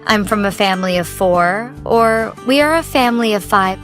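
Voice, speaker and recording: A woman co-hosting a scripted English lesson, giving clear, instructive tips. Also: mid-range voice, lively intonation, moderate pace. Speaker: co-host. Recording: soft guitar music behind.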